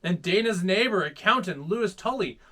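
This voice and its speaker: A man hosting a children's story time, reading aloud in a playful, dramatic, animated way. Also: high voice, normal speed. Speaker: host reading children's stories.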